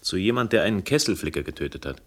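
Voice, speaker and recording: An actor playing a wry, impatient investigator who narrates a radio crime play in a dry, sardonic manner. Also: male voice, deep voice, expressive, fast, a bit bright, heavily acted. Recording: vintage radio play production with music.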